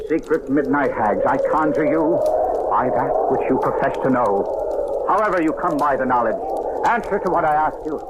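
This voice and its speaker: A man's voice: a little high, expressive, moderate pace, close to an everyday voice. An actor playing a tormented, ruthless usurper king in a theatrical, declamatory old radio drama style. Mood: demanding, uneasy. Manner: theatrical, declamatory, swinging from hushed brooding to cries. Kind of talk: classic radio drama acting. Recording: noisy old radio recording.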